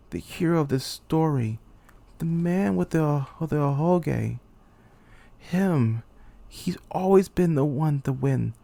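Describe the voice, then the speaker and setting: A man playing a betrayed, despairing young military commander in a theatrical, halting anime-game monologue. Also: a little high, gravelly, mellow, full character voice. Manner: theatrical, halting, anguished delivery. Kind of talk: dramatic monologue, anime-game fan voice reel.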